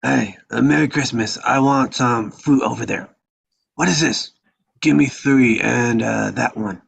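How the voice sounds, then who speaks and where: A man playing an easygoing, fatherly old mafia don in a table read, with a gravelly, husky voice. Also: medium pitch, measured pace, exaggerated voice. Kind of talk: live table read of a film script.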